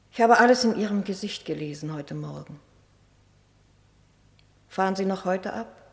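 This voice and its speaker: An actress in a 1960s German radio play voicing a shy, lonely, ageing niece who speaks in anguished, yearning, faltering phrases. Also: female voice, medium pitch, darkish tone, husky, no echo. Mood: sad, resigned. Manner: hesitant, faltering, repeating words.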